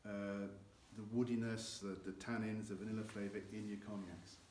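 Older guy explaining barrels on a tour, lowish voice, echoey warehouse with faint hiss. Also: flat delivery, normal speed, a little mellow. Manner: explanatory, gestures with both hands while talking. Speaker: cognac house guide. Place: large dim barrel warehouse with earthen floor.

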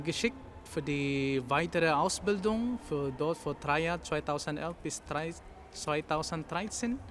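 A man with a Filipino accent talks through an interview, pausing often and filling the gaps as he looks for the right words. His voice sits fairly high for a man. Clear background noise runs under the whole recording. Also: a bit bright. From Philippines. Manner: halting, searching for words with many fillers. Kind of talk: interview answers.

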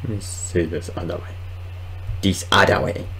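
Casting: man, twenties, lowish voice, casual hesitant gamer commentary, noisy home recording. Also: normal speed, pushed and bright. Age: 20s. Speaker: gamer, video host. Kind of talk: casual let's play commentary. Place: small room at home, indoors.

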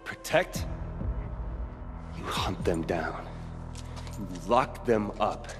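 An actor playing an angry, defiant young rebel hero in a video game, blunt and accusing. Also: male voice, lowish voice, animated, extremely slow. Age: young adult. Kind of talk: video game cutscene acting. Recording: long reverb, music underneath.

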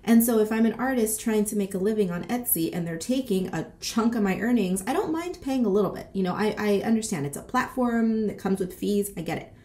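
Congested, sassy woman chatting, mellow tone. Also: medium pitch, fairly quick, few pauses. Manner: sassy, animated, peppered with slang and swearing. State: congested, short of breath from a lingering cold. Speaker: boutique owner and vlogger. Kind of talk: casual chatty vlog. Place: small decorated room indoors.

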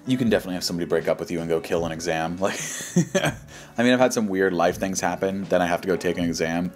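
A man giving casual, slangy, skeptical commentary and reading posts aloud in a joking way. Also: deep voice. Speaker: host of a reaction show. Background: background music.

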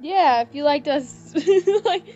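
A woman's voice: high voice, animated, takes it slowly, mellow, dull audio, slight background noise. A woman playing and reading a visual novel aloud, mixing dramatic narration with casual commentary.

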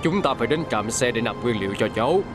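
Man as fatherly cartoon bus uncle, medium-pitched. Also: expressive, fast, natural voice. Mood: concerned. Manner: caring, firm. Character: concerned, fatherly adult male bus uncle. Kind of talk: children's cartoon dubbing. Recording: music behind.

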